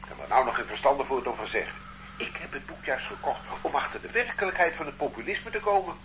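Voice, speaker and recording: A man's voice: on the high side, very animated, fast talker. An actor playing an ordinary working-class man under arrest in a radio play, bewildered and defensive. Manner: bewildered, defensive, plain-spoken. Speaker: suspect. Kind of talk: radio drama acting. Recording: dull lo-fi old radio recording with faint noise.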